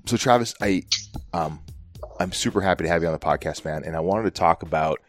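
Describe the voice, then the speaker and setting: Deep-voiced podcast host, chummy. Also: male voice, fairly quick, soft background music. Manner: relaxed, chummy, laughs easily. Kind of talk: casual interview chat.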